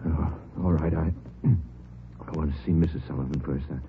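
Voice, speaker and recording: A man's voice: extremely low pitch, fairly even intonation, moderate pace, a little hiss or hum. An actor playing a frightened middle-aged father who stammers and hesitates anxiously, in 1940s radio acting. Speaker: father of kidnapped girl. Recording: tinny old radio broadcast.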